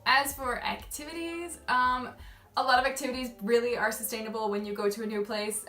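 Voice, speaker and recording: A woman's voice: medium pitch, fairly bright tone. Chatty woman vlogger in an echoey room. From Malaysia. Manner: chatty, rambling, laughs at herself. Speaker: travel vlogger. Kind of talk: casual chat to camera. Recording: echoey room, mic at a distance, faint music.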